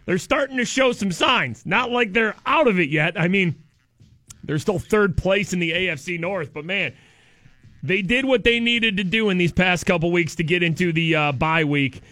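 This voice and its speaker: A man's voice: high voice, normal speed, dry recording, faint music behind. A man co-hosting a morning radio show in casual, joking banter, with a sore throat and a voice that is going. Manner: excitable, sarcastic, joking.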